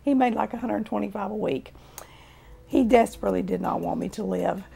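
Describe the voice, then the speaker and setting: A woman in her fifties from Florida with a hoarse, raspy voice, telling her own life story in a plain, unhurried way. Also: mid-range voice, warm tone, faint music behind. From Florida, USA. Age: 50s. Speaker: testimony speaker.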